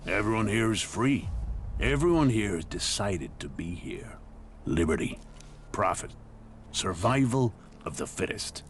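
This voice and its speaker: Deep-voiced, ruthless wasteland boss. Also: male voice, lively intonation, unhurried, a little hiss or hum. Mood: boastful, scornful. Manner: menacing, boastful, blunt. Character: ruthless gang boss duke ruling a wasteland town. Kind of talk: video game voice acting.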